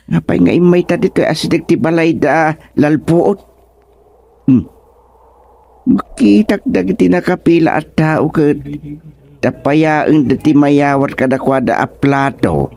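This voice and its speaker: A man's voice: on the high side, a little slow, creaky, long pauses, darkish tone. A man playing a comic, hungry, easily flustered male ghost in an Ilocano radio drama, bantering with exclamations and an Ilocano accent. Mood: eager.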